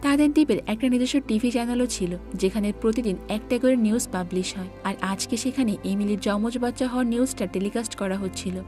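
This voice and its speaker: Woman doing movie recap narration, soft mellow tone, kinda high voice, music underneath. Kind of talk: scripted story narration.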